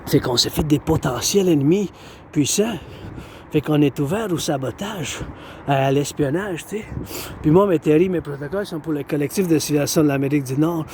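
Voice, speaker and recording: Québécois man, warm higher voice, repetitive outdoor monologue, light traffic behind. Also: brisk. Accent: Québécois French. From Quebec. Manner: repetitive, circling back to phrases. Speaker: vlogger. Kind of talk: rambling monologue to camera.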